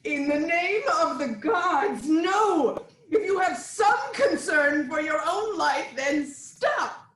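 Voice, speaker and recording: A woman acting an anguished, imploring queen in a theatrical reading of Greek tragedy, in a dramatic drawn-out delivery. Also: very high-pitched, animated, a bit bright, exaggerated voice. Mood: desperate pleading. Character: anguished, pleading queen of ancient Thebes, mother and wife. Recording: echoey room with noise.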